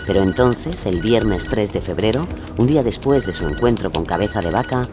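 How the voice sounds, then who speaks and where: A man's voice: fairly low pitch, expressive, moderate pace. A man delivering scripted dramatic narration for a documentary-style audio story. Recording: music under the narration.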